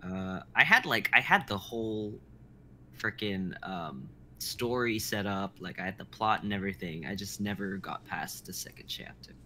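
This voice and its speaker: Bright, animated young man chatting. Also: fairly low pitch, moderate pace, slight background noise. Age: young adult. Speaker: writer and performer on a readers' stream. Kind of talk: casual group chat.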